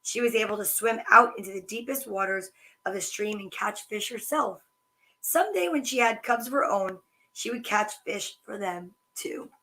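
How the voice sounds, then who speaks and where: A woman hosting a children's story time, reading picture books aloud with chatty asides in a sing-song, animated way. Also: medium pitch, normal speed, low-quality recording.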